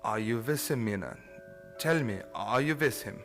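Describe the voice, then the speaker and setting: Male actor as commanding vampire hunter, bright tense voice, middle pitch, formal and urgent, radio drama. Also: expressive, soft background music, close to an everyday voice. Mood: probing. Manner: formal, insistent, deliberate phrasing. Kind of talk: old-time radio drama acting.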